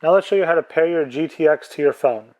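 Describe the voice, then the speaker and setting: Male product presenter, voice a touch high, plain step-by-step tutorial delivery. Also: fast, a little mellow, low-quality recording.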